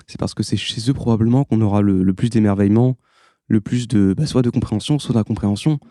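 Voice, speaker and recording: A young adult man with a fairly low, very dark voice. As a student, he answers thoughtfully and earnestly, stacking up phrases one after another. The sound is close and dry. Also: quick pace, dry recording. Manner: earnest, reflective, list-like phrasing. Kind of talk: interview answers.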